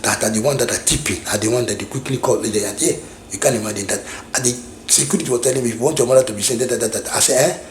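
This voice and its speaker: A man's voice: medium pitch, reverberant, a little hiss or hum. A middle-aged man from Nigeria with a Nigerian English accent, reminiscing in casual talk, rambling with many restarts and repeated phrases. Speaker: vlogger.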